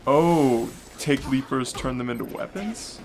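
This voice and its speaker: A man's voice: medium pitch, animated, measured pace. A man co-hosting casual game commentary, chiming in with short reactions and questions. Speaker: gaming video co-host.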